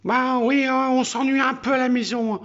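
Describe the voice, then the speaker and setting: A man voicing a greedy, always hungry male pet animal that talks slowly and simply. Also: very high-pitched, little pitch movement, fairly bright tone, lo-fi sound, voice pushed up. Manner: slow, simple, drawn-out talk.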